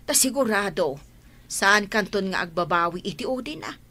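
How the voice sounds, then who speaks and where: A woman's voice: mid-range voice, lively intonation, moderate pace, sharp tone. A woman acting an insistent, disapproving mother in a radio drama, with a scolding, emphatic manner. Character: insistent, disapproving mother pressing her son about love.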